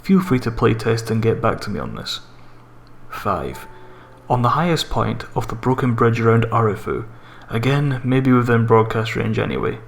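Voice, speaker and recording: A man narrating a scripted horror story in a measured, deliberate way, as a creepypasta narrator. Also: medium pitch, expressive, vocal fry, slight background noise. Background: background music.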